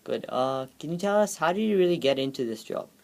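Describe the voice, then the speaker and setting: A man's voice: a little high, animated, a little fast, gravelly, darkish tone. A man interviewing a guest, asking short, plain questions with some hesitation. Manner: brief, plain questions with fillers.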